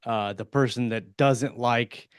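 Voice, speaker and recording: A man talking casually as a podcast co-host, in a rambling, halting way that circles around his point. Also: mid-range voice, fairly even intonation, clean tone, no room sound, clean studio sound. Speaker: podcast co-host and fiction writer. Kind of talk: casual discussion.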